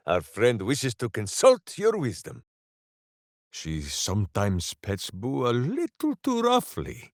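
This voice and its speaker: An actor voicing a big, brawny, good-hearted and simple warrior in a video game, speaking in a theatrical, declamatory manner with grand, quaint phrasing. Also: male voice, mid-range voice, dry recording, clean studio sound. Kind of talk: video game character voice lines.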